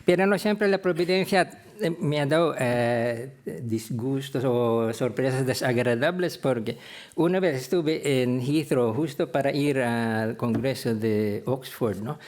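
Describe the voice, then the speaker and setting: An older man from the Philippines, a theology professor, giving a prepared talk of career anecdotes in a halting, hesitant way with frequent pauses. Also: mid-range voice, a little mellow.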